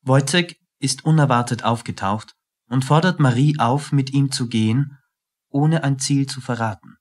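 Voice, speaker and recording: A male narrator reads scripted radio drama linking passages. His delivery is sober and matter-of-fact, with a medium-pitched voice. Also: moderate pace.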